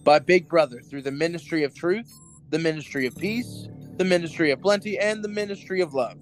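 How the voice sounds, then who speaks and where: An actor playing an anxious, obedient party worker with hidden doubts in a radio drama, earnest and dutiful. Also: male voice, a little high, brisk, faint music behind, natural voice, poor audio. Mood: dutiful, nervous.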